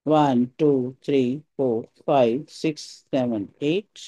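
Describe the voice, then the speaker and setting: A meditation teacher giving calm, soothing guided instructions in short phrases with pauses. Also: mid-range voice, no room sound, dull audio.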